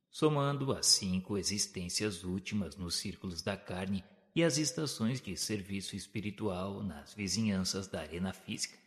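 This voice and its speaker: A Brazilian man narrates a spiritual audio novel in a solemn, formal, measured storytelling manner. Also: low-pitched, fairly bright tone. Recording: long hall-like reverb.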